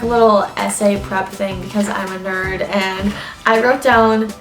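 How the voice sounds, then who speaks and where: A young woman chatting informally through advice from her notes, explaining and listing points as a vlogger. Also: on the high side. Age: young adult. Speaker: vlogger giving interview tips. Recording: echoey room with background music.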